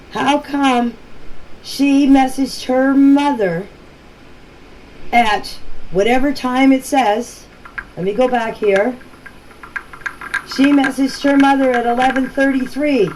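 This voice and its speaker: A woman leaving informal voice messages for a group chat, explaining things in a halting, repetitive way. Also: on the high side, darkish tone. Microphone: phone. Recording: noisy phone voice clips.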